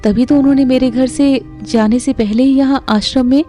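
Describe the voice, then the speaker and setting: A young woman playing a sweet, trusting unwed mother in an audio drama, speaking softly and earnestly. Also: sits high, steady tone, normal speed, soft background music, close to an everyday voice. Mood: earnest, reassuring. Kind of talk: Hindi audio drama acting.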